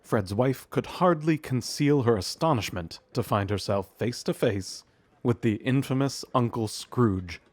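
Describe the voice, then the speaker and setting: A man narrating a storybook audio drama in a measured storytelling manner. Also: medium pitch, lively intonation, darkish tone, dry recording. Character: omniscient storybook narrator of a Christmas tale.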